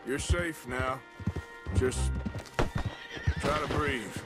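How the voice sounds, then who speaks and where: An actor playing a gruff outlaw who speaks in a low, understated drawl. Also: male voice, extremely slow, over loud music. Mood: calm. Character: gruff middle-aged outlaw, steady and dry.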